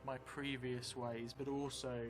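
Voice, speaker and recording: A man in his forties, narrating a solo role-playing game and thinking aloud in a musing, halting, muttering way. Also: medium pitch, little pitch movement, noisy background. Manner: musing, halting, drifting into muttering while writing. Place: indoor theatre stream set, seated at a table. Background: background music.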